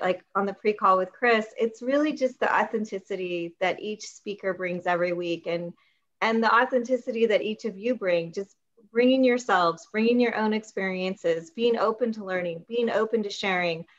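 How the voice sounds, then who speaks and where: A woman's voice: medium pitch, highs cut off. Earnest middle-aged woman hosting a call. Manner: warm, earnest, grateful. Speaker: host. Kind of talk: hosting a video meeting. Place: home room indoors. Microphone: laptop webcam on video call.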